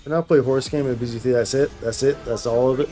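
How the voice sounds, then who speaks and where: Casual man livestreaming over soft music. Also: medium pitch, fairly even intonation, fast talker. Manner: slangy, bro-heavy, repeats phrases for emphasis. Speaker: game streamer, host. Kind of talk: casual livestream chat while gaming.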